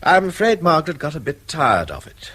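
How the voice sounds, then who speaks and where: A well-off middle-aged Englishman, ill at ease in a radio play. His voice is slightly husky and swoops widely in pitch. He speaks apologetically, often hesitating as he explains himself. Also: big pitch swings, brisk, bright, forceful tone, full character voice. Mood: apologetic, embarrassed. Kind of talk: radio drama acting.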